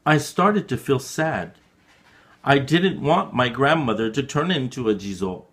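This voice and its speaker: A man reading a story dramatically, with deliberate, clearly phrased and expressive delivery for learners. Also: medium pitch.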